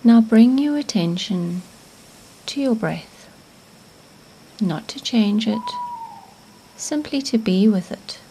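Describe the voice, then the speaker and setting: A woman narrating a guided meditation in a gentle, soothing, hushed manner, with phrase endings fading toward a whisper. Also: a little high, lively intonation, slow pace, long pauses, husky, rough recording.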